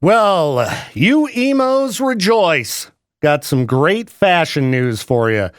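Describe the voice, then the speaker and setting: A man hosting a radio show, chatting and joking in a lively, animated way. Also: fairly high pitch, takes it slowly, no room sound, clean studio sound, faint music behind. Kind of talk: casual radio banter.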